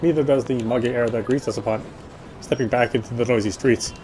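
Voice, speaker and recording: A man's voice: medium pitch, steady tone, brisk, background noise. A man playing a game on stream, reading its story narration aloud and sometimes correcting his slips. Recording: music behind the voice. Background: game music.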